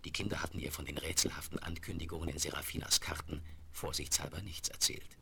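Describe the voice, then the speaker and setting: A man's voice: very deep voice, steady tone, fast, creaky, whispered. A man narrating a children's audio drama as a storyteller, on a crackly seventies vinyl record. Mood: measured storytelling. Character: male storyteller narrating a horse adventure.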